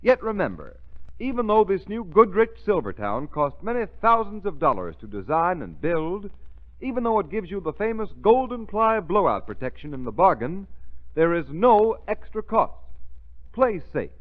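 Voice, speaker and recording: An American man announces like a 1930s radio pitchman, his fairly high voice rising and falling with dramatic emphasis. The sound is tinny and narrow, like an old broadcast. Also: big pitch swings. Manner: emphatic, dramatic, deliberate phrasing.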